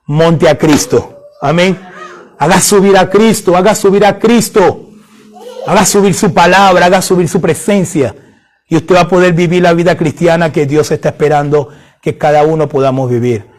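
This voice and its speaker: A man's voice: a little high, normal speed. A man from Haiti preaching a sermon, fervent and building to emphatic prayer, repeating phrases for emphasis.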